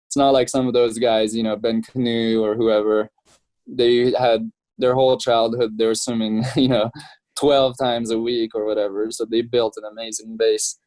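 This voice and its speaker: A man in his twenties from France, chatting casually as an interview guest, relaxed and laughing often. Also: medium pitch, normal speed, no room sound, poor audio. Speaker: professional triathlete, guest.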